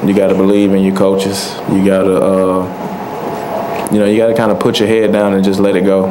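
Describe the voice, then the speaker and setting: A young man speaks into a table microphone at a postgame press conference. His voice sits low and stays flat, and he talks in a relaxed, soft-spoken way with many fillers. Steady hiss runs under the room. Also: normal speed. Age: young adult. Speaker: college football player. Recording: noisy press room audio.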